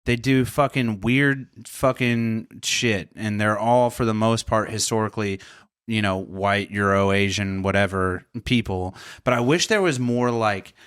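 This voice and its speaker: A man's voice: on the low side, unhurried, fairly bright tone, clean tone, dry recording, clean studio sound. A man hosting a podcast, chatting casually, swearing freely and rambling with frequent laughs. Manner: profane, laughs often, loose and rambling. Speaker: podcast host. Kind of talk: casual comedy chat.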